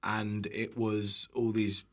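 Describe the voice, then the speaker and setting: A man's voice: fairly low pitch, little pitch movement, slow. A British man telling casual anecdotes as a guest, in a self-deprecating way with some casual swearing. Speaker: guest, internet cooking creator.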